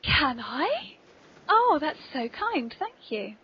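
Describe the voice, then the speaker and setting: An English woman hosting a podcast interview, chatting playfully with polite, theatrically expressive phrasing. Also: sits high, slow pace. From Cornwall, England. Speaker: podcast host interviewing an author. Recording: dull, lo-fi early podcast recording.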